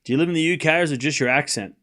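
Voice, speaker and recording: A man's voice: a little high, rapid-fire, a bit bright. A man with a mild Australian accent hosting a live tennis watchalong, mixing commentary with casual chat and repeating phrases for emphasis. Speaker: livestream watchalong host and commentator.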